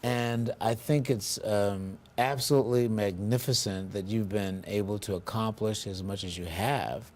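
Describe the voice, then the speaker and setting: A lower-voiced man hosts a local television interview. He talks in a measured, formal manner and fills his pauses with ums. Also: fairly even intonation, slight background noise. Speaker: TV interview host.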